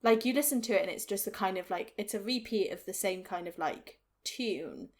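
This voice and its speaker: A woman's voice: mid-range voice, fairly quick. A woman with a mild Welsh accent, co-hosting a casual podcast and talking in a chatty, laughing way full of "like" fillers. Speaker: podcast co-host. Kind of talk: casual chat.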